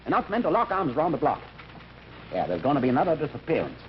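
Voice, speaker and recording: A man's voice: on the high side, huge pitch swings, fast. A man playing a tough, determined detective who talks tersely in an old-time radio drama. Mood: grim, determined. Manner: terse, hard-boiled. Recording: noisy, muffled vintage radio recording.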